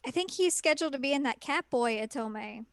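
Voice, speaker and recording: A woman with a fairly high voice co-hosts a casual podcast, chatty and upbeat. Her voice sounds dry and close to the mic. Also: lively intonation, no echo. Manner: chatty, upbeat, quick reactions. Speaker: podcast co-host. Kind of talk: casual chat.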